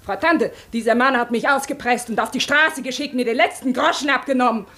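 An actress in a German radio play playing a bitter, vengeful mistress, scornful and insistently accusing. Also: female voice, high-pitched, fairly quick, fairly bright tone.

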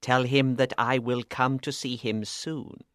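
A man voicing a wise old male magician, speaking quietly and in a measured way, in a storybook reading. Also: medium pitch, level tone, dry recording, clean studio sound, close to an everyday voice. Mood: calm, knowing.

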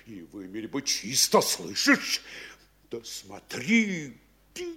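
A man's voice: medium pitch, wildly swooping pitch, slow pace, sharp tone, slightly rough, heavily acted. An actor playing a blustering, anxious town mayor in a stage comedy, bossy and flustered as he gives out orders. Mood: angry, scolding. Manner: bossy, flustered, changes orders mid-sentence. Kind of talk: theatrical Russian stage comedy acting.

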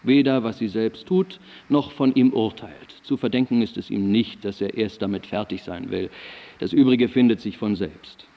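An eloquent eighteenth-century philosopher with a dark, mellow voice. He recites in ornate, measured rhetoric with a wry edge, as in a literary radio play, and a long hall reverb follows his words. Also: on the low side, creaky. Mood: dryly mocking.

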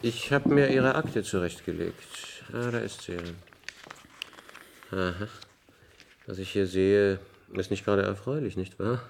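Radio drama: formal, authoritative leader of underground survivors, low register, measured and fatherly delivery. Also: vocal fry, slight background noise. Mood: reproachful, patronising. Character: authoritative male senior official of an underground survivor community. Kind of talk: German science-fiction radio drama acting.

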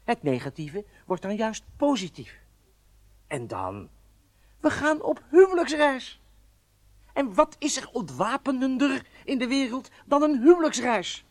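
An actor playing a determined newlywed husband and secret agent in a Dutch radio play, speaking courteously and with deliberate emphasis. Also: male voice, very high voice, sing-song, full character voice. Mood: persuasive, assured.

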